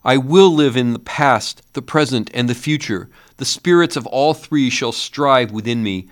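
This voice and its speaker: A man's voice: mid-range voice, animated, a little slow, fairly bright tone, voice pushed up. A man voicing a frightened old male miser who begs a ghost in earnest, old-fashioned speech, his voice strained and rising to anguished cries. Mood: desperate pleading. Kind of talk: audiobook character voice.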